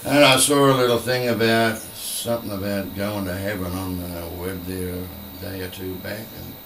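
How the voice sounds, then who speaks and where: An older man with an Australian accent and a croaky voice left over from flu, chatting bluntly and swearing freely in a small home room into a vocal mic on a stand. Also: low-pitched, steady tone, normal speed, background noise. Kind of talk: casual rambling monologue. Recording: roomy, from a distance.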